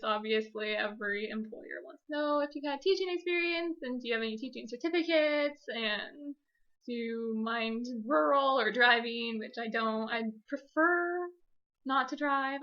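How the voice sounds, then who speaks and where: Young female vlogger: very bright, higher-pitched voice, chatty and self-deprecating, slightly dull lo-fi sound. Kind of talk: casual personal vlog storytelling.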